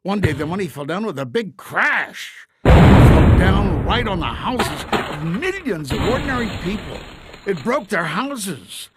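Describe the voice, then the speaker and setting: A man's voice: high-pitched, very animated, a little slow, heavy background noise. A man narrating a scripted fairy tale in a simple, emphatic storybook style.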